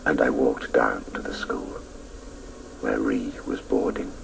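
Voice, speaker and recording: An actor playing a grown man wistfully looking back on his boyhood grief, narrating a radio play in a slow, gravelly voice. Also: full character voice. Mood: wistful, sorrowful. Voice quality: very deep, gravelly. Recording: noisy radio play tape, distant voice.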